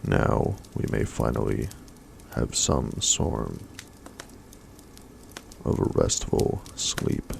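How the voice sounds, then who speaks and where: Very deep, gravelly soft-spoken man. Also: expressive, extremely slow, pauses often, a little hiss or hum. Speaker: ASMR storyteller. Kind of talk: soft-spoken ASMR story reading.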